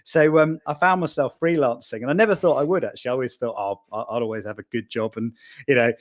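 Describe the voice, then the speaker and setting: A man's voice: medium pitch, fast talker. Friendly man in his forties. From Wales. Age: 40s. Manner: friendly, laughs easily, rambling. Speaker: podcast guest, marketing executive. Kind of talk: casual interview.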